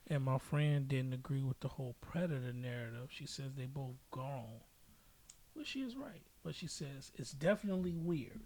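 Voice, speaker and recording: A man's voice: medium pitch, expressive, normal speed, a little mellow, slight background noise. A man hosting a casual podcast, talking in slangy, emphatic, opinionated style and repeating himself for effect. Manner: emphatic, slangy storytelling with repetition. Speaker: podcast host.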